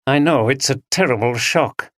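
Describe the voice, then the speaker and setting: A man voicing a polite young male detective who speaks in a measured, official way. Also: medium pitch, a bit bright, dry recording, studio quality, pitched above the performer's own voice, natural voice. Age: young adult.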